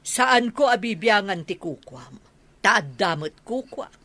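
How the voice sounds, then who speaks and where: Woman acting an animated, protesting older village woman. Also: mid-range voice, takes it slowly, no room sound. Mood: indignant. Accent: Filipino, Ilocano. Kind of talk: Ilocano comedy radio drama.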